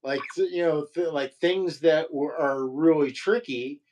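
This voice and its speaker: A man with a low voice in casual conversation, rambling and halting with many fillers and restarts. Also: a little mellow, a little hiss or hum. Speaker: podcast co-host. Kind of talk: casual chat about guitar.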